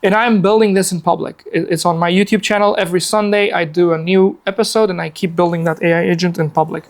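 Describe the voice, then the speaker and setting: A man's voice: high-pitched, fairly even intonation, fast. A man in his forties from Afghanistan giving a casual live workshop presentation in a meeting room. He talks in a chatty, upbeat way with many fillers, seated at a laptop and recorded by a camera across the room.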